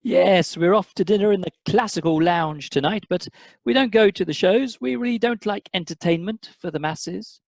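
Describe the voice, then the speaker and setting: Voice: mid-range voice, moderate pace, vocal fry, darkish tone, dry recording. An amateur actor playing a pompous, thrifty English retired civil servant husband, fussy and superior, in a radio comedy. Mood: pompous, satisfied.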